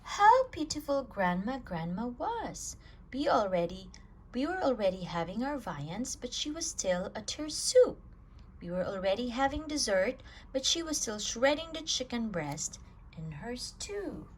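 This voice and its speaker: A woman reading a children's picture book aloud at storytime, telling it with an expressive, swinging voice. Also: fairly high pitch, measured pace, slightly rough. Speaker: storytime reader.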